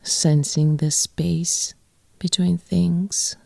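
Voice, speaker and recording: A woman guiding a yoga nidra meditation in a soft, breathy, often near-whispered voice, with gentle phrases and long pauses. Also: fairly low pitch, level tone, no echo. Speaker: yoga nidra teacher and guide. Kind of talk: guided meditation, soft and soothing.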